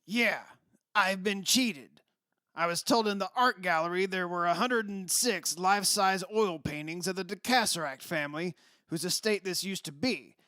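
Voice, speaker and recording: A man's voice: sits high, moderate pace, pushed and bright, dry recording, clean studio sound. A man acting in a radio play as a brash, blunt, middle-aged rich father who is impatient and demanding. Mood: brash, indignant. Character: brash wealthy father who bought an old mansion.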